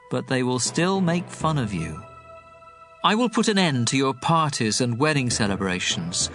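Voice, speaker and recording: The prophet Jeremiah in a scripture audio drama, grave and earnest, as a man plays him. His voice sits slightly high, and he delivers his warnings in a measured, deliberate way over faint music. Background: soft music.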